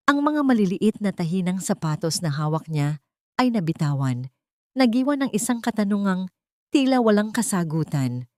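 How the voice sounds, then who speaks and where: A woman's voice: medium pitch, sing-song, normal speed, dark tone, dry recording, clean studio sound. A woman with a Filipino accent reading a scripted, dramatic story as narrator.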